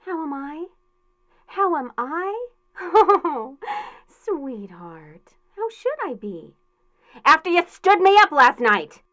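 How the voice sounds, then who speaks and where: A woman's voice: sits high, sing-song, takes it slowly, a bit bright, heavily acted. A woman playing a demanding, jealous fiancée in a comic radio drama, nagging with sarcasm and melodrama. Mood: sarcastic reproach. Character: shrill, demanding, jealous fiancée of a timid man.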